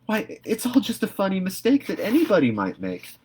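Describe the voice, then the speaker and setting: Give me a man playing a gentle, merry young woman, soothing and expressive. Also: sits high, brisk, a little hiss or hum, pitched above the performer's own voice. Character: kind young minister's wife, gentle and merry.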